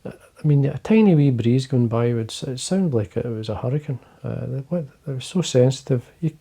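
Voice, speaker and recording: An older Scottish man with a low, quiet voice, gravelly and mellow in tone. He chats casually to the camera from his desk, wandering through his points with plenty of fillers. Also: expressive, normal speed. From Scotland. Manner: quiet, rambling, many fillers and restarts. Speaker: fly-fishing video host. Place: indoors, fly-tying desk.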